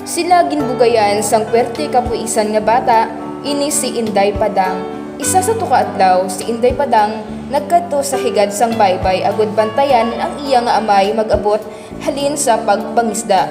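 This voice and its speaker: A woman narrating a radio drama in a steady, measured storytelling manner. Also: on the high side. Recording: echoey room with loud music.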